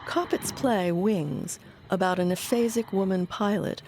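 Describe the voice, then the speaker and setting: A woman with an American accent giving scripted documentary narration in a measured, articulate way. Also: medium pitch, a little mellow, slight background noise.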